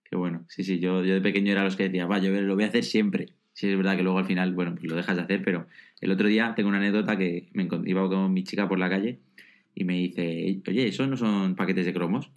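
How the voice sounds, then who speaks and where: Low-voiced guy hosting a podcast, friendly, kinda stumbles and restarts a lot. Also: fairly even intonation, fast. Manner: friendly, hesitant, many restarts. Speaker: podcast host. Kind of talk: casual interview hosting.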